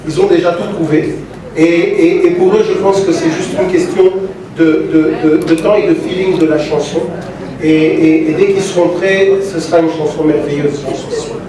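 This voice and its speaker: A man's voice: on the high side, fairly even intonation, normal speed. A man with a Congolese accent speaking earnestly at a press conference, hesitant, with many repeated words and fillers. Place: large hall at a press conference. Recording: echoey hall, distant mic, crowd noise.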